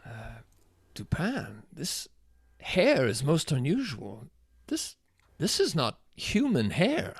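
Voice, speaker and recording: An actress playing a detective's earnest, easily astonished companion, hesitant and squeamish, in an audio drama. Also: female voice, deep voice, wildly swooping pitch, slow pace, slightly rough, no echo, clean studio sound, heavily acted. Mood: bewildered, hesitant. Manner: hesitant, reactive, full of gasps and murmurs. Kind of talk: live audio drama acting.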